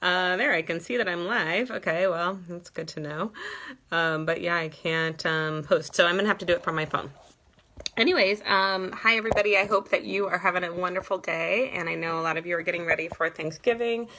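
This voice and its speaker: A woman's voice: on the low side, brisk, sharp tone. A woman wellness coach talking casually on a phone live stream, a little congested, chatty and scattered with laughing asides.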